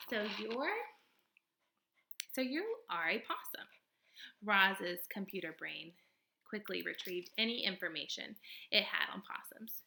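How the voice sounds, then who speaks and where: A woman's voice: on the low side, gravelly, pushed and bright, low-quality recording. A woman reading a storybook aloud as narrator, expressively, with casual spoken asides.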